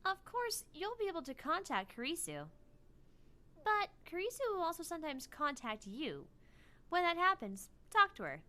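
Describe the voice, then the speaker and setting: A woman's voice: very high voice, sing-song, moderate pace, sharp tone, voice pushed up, full character voice. A woman voicing an earnest young female research scientist in an anime game read-aloud, explaining things in a businesslike way. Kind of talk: visual novel character read-aloud.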